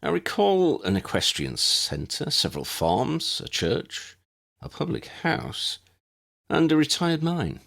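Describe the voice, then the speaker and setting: A man voicing a learned, courteous character with formal, measured phrasing in an audiobook. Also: fairly high pitch, huge pitch swings, vocal fry, a bit bright, voice pushed up, heavily acted. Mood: recollecting. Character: courteous, learned, unhurried man, precise and bookish.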